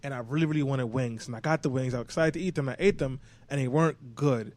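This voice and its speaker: A man's voice: medium pitch, fast talker, a little mellow, no room sound. A young man from New York, co-hosting a podcast and telling candid personal stories in casual chat, swearing freely. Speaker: podcast co-host.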